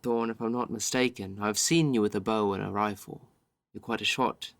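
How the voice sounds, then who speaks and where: A man voicing a cautious, steady female ship captain in a tabletop game, measured and earnest in manner. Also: on the low side, no room sound, studio quality, close to an everyday voice. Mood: measured encouragement.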